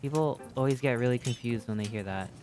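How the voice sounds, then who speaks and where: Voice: medium pitch, fast talker. Profane, slangy streamer in their thirties over music. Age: 30s. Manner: profane, slangy, self-deprecating, animated when riffing. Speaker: video game livestreamer. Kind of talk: casual livestream chat over gameplay, reading and answering viewer comments. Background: game music.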